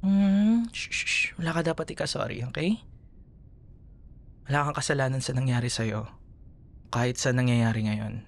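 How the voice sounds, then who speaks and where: A man with a Filipino accent playing a gentle, protective young boyfriend in an intimate ASMR roleplay, soothing and murmuring tenderly. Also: medium pitch, moderate pace, long pauses, close to an everyday voice. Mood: reassuring, concerned.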